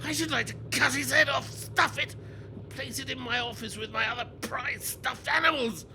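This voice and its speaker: A man playing a bloodthirsty, hot-tempered, pompous British army major in a radio play, barking orders. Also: moderate pace. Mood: contemptuous, bloodthirsty. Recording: noisy, with vehicle rumble behind. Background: vehicle engine noise.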